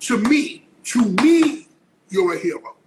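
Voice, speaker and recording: A man hosting an interview, excitable, jumping in and repeating himself. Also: extremely high pitch, slow, a crowd in the background. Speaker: podcast host. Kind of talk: casual interview conversation.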